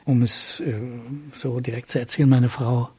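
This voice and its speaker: An actor playing an earnest, troubled older gentleman in a conversational radio drama, speaking haltingly and formally. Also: mid-range voice, flat delivery, breathy edge, lightly acted.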